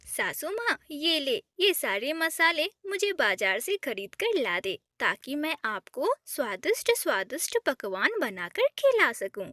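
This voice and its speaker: A sly young bride bird orders her mother-in-law around in a cartoon, voiced by a woman. High, bright and lively, spoken slowly and close. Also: dry recording, exaggerated voice. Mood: sweet. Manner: sweetly demanding, bossy. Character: cunning bossy young female bird, new bride.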